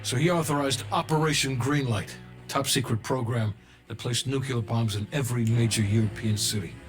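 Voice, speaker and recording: A man acting an unrepentant Cold War intelligence official in a video game. The voice is medium in pitch, cold, measured and guarded, with clear music underneath. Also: lightly acted. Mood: measured explaining.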